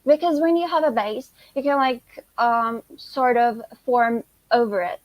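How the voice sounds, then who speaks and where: A woman's voice: fairly high pitch, a little slow, no echo, lo-fi sound. Chatty teenage girl, Turkish native. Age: teens. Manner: chatty, lots of "like" and "you know". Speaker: guest, high school student. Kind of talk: casual interview answers.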